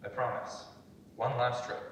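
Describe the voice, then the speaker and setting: A man's voice: mid-range voice, level tone, a little slow, a bit bright. Young man acting a stubborn young hiker, echoey hall. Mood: earnest, resolute. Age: young adult. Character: stubborn, reassuring young hiker, son and brother. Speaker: student actor. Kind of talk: dramatic script reading. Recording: very echoey hall, distant mic, faint noise.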